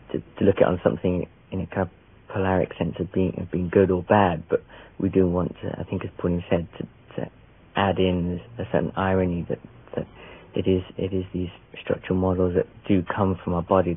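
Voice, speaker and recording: Low husky guy, lots of ums, sounds like an old radio phone line. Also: completely flat delivery, brisk. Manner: hesitant, many ums and restarts. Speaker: magazine editor and artist. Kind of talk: interview answers.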